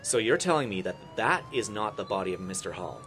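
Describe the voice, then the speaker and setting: Casting: man as doubting sergeant, lower register, radio drama, clear siren and traffic noise. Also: very animated, fairly quick, fairly bright tone. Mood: incredulous. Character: incredulous male police sergeant, gruffly in charge. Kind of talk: amateur radio play acting. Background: police sirens, vehicles.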